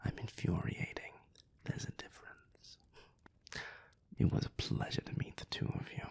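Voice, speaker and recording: A man playing a sardonic, infuriating vampire in an audio roleplay, theatrical and sly, with arch, taunting formality and a breathy, hushed voice. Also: normal speed, in a whisper, lightly acted. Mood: gleefully provoking.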